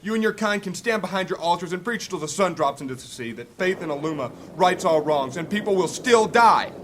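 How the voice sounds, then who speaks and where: An actor playing a bitter, hot-tempered warrior in a fantasy audio drama, scornful and argumentative. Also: male voice, sits high, expressive, brisk, a little hiss or hum. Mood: angry, bitter.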